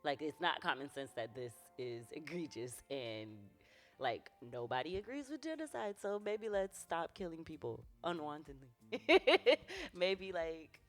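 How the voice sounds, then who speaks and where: A woman's voice: deep voice, sing-song, measured pace, faint music behind. A woman hosting a podcast in casual conversation, with an affirming, conversational manner. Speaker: podcast host.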